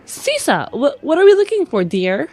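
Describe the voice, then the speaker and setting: A woman's voice: sits high, very animated, normal speed, a little mellow. A woman playing a tabletop roleplaying game, improvising lines in character.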